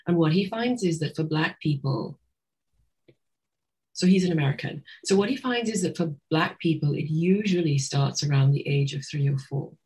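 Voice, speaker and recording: A middle-aged woman with a low, husky voice, speaking as an interview guest. She talks in a reflective way, stops often to restart her thoughts, and uses fillers as she goes. She grew up in Trinidad. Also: normal speed, darkish tone, poor audio. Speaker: psychotherapist guest.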